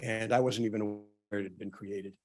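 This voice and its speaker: A man speaking at a public forum who is losing his voice, often clearing his throat and stopping for ums and uhs. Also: on the low side, fairly even intonation. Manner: halting, many ums and uhs, restarts. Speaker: town official presenting at a public forum. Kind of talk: prepared remarks at a public meeting.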